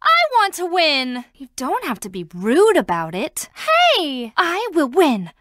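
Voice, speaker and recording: A woman's voice: sits high, huge pitch swings, takes it slowly, a bit bright, no room sound, studio quality, heavily acted. A woman voicing a perky, girlish trophy girl race car in a video game, vain but plucky, with a cutesy, bubbly, coquettish manner. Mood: eager, determined. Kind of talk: video game character voice clips.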